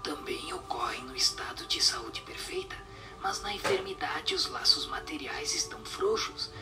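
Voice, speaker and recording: Creaky whispering spirit teacher, as an actor plays it. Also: medium pitch, huge pitch swings, far from the mic, over music. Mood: solemn, instructive. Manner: measured, solemn, didactic. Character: whispering spirit giving solemn, instructive answers. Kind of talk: radio drama question-and-answer dialogue.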